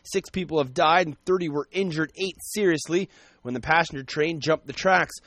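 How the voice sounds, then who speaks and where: A man reading the news on the radio in a brisk, even newscaster delivery from a studio. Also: a little high, dry recording. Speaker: radio newsreader.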